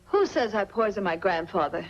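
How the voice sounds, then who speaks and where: Wronged noir heroine, female actor, proud, indignant, swooping pitch, faintly noisy vintage soundtrack. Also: sing-song, normal speed, close to an everyday voice. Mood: shocked indignation. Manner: earnest, indignant. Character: proud, defiant wrongly accused young woman. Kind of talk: 1940s film noir acting. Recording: dull, faintly noisy 1940s film soundtrack.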